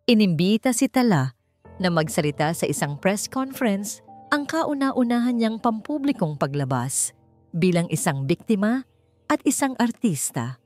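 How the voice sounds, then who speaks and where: A woman reads scripted, dramatic romance narration in a measured manner. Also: mid-range voice, big pitch swings, a little mellow. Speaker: story narrator. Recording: music behind the voice. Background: background music.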